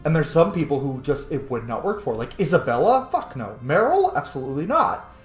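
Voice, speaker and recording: A man giving casual game commentary as he roleplays, musing out loud with emphatic words and some swearing. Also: on the high side, big pitch swings, a little fast. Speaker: gamer, host of a roleplay playthrough. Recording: echoey room, faint music behind. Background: faint game music.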